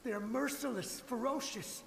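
Desperate samurai ghost in a game, high urgent voice, big reverb. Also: male voice, normal speed, vocal fry, fairly bright tone, full character voice. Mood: urgent, grim. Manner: urgent, pleading. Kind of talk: dramatic video game voice acting. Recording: roomy reverberant game audio, faint noise.

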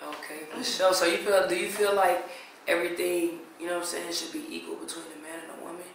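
A young man hosting a blind date, talking in an energetic, slang-heavy way. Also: sits high, quick pace, a little hiss or hum. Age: young adult. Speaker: host. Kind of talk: casual chat. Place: living room indoors. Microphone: far camera. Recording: echoey room from far off.

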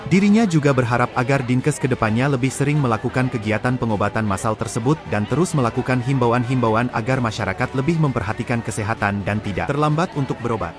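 A man reading a scripted news voice-over in a brisk, even delivery. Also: medium pitch, over music. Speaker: news narrator.

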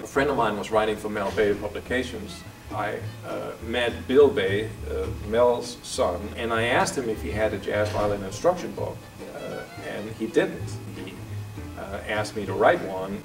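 Danish man speaking from a distance. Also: on the low side. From Denmark. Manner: relaxed, thoughtful, pauses with fillers. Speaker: guest jazz violinist. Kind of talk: casual interview answers. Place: office room, indoors.

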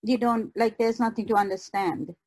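A woman's voice: a little high, moderate pace, a little mellow. A woman speaking as a guest artist in a casual discussion.

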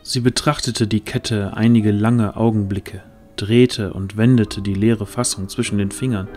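A man's voice: lowish voice, steady tone, a little slow, darkish tone. A man narrating a fantasy audio drama as a scripted storyteller. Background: music.

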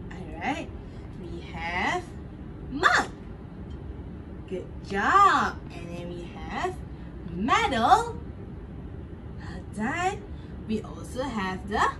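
A young woman with a Singaporean accent leads an interactive lesson for preschoolers, speaking in short, simple, encouraging phrases with exaggerated sing-song delivery. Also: high-pitched. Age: young adult. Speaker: preschool teacher at a children's gym. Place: indoor padded children's gym. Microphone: camera a few metres away. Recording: distant mic with clear room noise.

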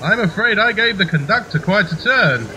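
A man's voice: sits high, expressive, normal speed, bright, forceful tone, a little hiss or hum, full character voice, low-quality recording. A man playing a polite, flustered British naval captain and affectionate husband in an amateur radio play. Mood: affectionate, sheepish.

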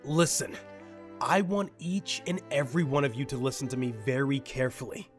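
A man's voice: mid-range voice, normal speed, soft background music, close to an everyday voice. A man acting an arrogant, irritable survivor in an audio drama, with a sardonic, condescending manner. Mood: condescending, impatient. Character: arrogant, irritable, cynical male survivor with a ship.

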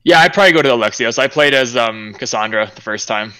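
Young man, bright forceful tone, dry close sound, rambling casual chat, headset. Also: mid-range voice, quick pace, clean tone, no room sound, poor audio. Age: young adult. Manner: rambling, with many fillers and restarts. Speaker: podcast co-host. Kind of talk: casual group chat on a video call.

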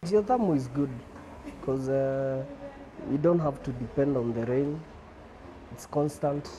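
A man's voice: mid-range voice, measured pace, poor audio. A young man from Kenya, a hairdresser, speaking casually and plainly in an indoor salon on a lapel mic. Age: young adult. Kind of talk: news interview.

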